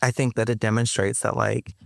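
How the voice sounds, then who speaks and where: A woman's voice: rumbling low, level tone, brisk, gravelly, dry recording, clean studio sound. A woman guest on a podcast interview, speaking thoughtfully with frequent fillers and restarts, laughing now and then.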